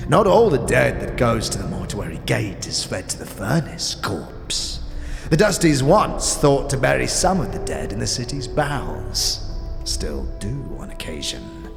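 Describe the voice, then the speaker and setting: A man playing a sly, greedy old scavenger king with a wheezy, creaky voice and a drawn-out, theatrical delivery in a fantasy audio drama. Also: mid-range voice, wildly swooping pitch, exaggerated voice. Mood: sly, sinister relish. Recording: long reverb, music underneath.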